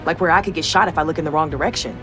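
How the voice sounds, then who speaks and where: A woman's voice: low voice, racing, music in the background, close to an everyday voice. Woman as a wary, exasperated young skeptic. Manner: exasperated, arguing. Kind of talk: video game cutscene acting.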